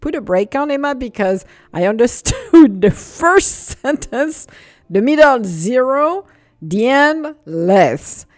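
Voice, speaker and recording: A woman with a non-native English accent hosting a casual solo podcast, animated and laughing often, speeding up when excited. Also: sits high, slow, no room sound. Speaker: podcast host.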